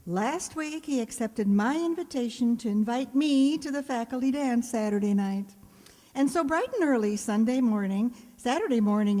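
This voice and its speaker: Actress as sardonic lovelorn schoolteacher, animated higher pitch, old-time radio comedy, reverberant hall. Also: female voice. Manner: dry, sardonic delivery. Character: wry, sociable high school English teacher pining for a colleague. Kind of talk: 1940s radio comedy acting.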